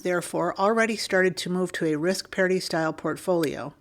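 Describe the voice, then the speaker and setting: Woman reading a listener letter aloud. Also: on the low side. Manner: steady, clear reading of figures and tickers. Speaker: podcast co-host reading listener email.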